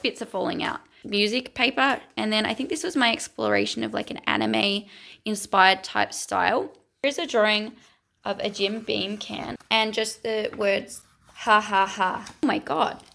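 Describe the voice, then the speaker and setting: A woman, chatting casually and self-mockingly as an art vlogger while going a little hoarse. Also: mid-range voice, a bit bright. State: losing her voice. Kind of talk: casual vlog commentary.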